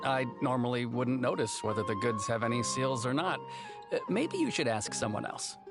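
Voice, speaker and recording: Man doing shrugging clerk, gravelly, lively pitch. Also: moderate pace, lightly acted. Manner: casual, shrugging. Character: easygoing academy clerk, unbothered. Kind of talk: game voice acting. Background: loud game music.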